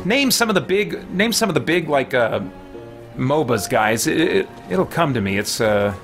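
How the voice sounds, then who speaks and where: A man's voice: on the high side, very animated, moderate pace, a bit bright. A man in his thirties or forties doing casual, rambling game commentary, thinking aloud and correcting himself as he goes. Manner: rambling, self-correcting, chatty. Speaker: live-stream gaming host. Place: small home room, indoors. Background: game music.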